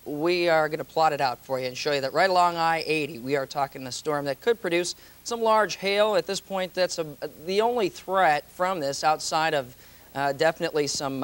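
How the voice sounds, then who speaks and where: A man's voice: fairly high pitch, fairly quick, smooth. A man presenting a live TV weather forecast as a meteorologist, in a conversational, ad-libbed way.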